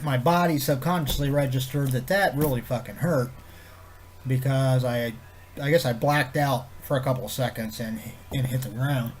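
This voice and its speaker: A man with a stuffed-up head cold talks on, his voice nasal and congested. His pitch sits mid-range for a man. He rambles in a low-key, easygoing way and swears casually. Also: slight background noise. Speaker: vlogger. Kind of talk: rambling casual chat to camera.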